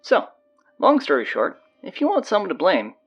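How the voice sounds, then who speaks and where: Bossy teenage girl announcer played by a woman for audio drama: low register, swooping delivery, blunt sarcasm. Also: wildly swooping pitch, normal speed, husky, highs cut off, soft background music, full character voice. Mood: brisk, sardonic. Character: bossy, sardonic teenage high school girl taking over announcements.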